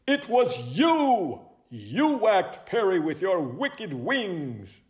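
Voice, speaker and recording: Storybook owl sleuth, played by a man: self-important, theatrical, high and very animated. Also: takes it slowly, full character voice. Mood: excited accusing. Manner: pompous, declamatory. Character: dramatic, self-important, excitable male owl detective. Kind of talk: children's storybook character voice.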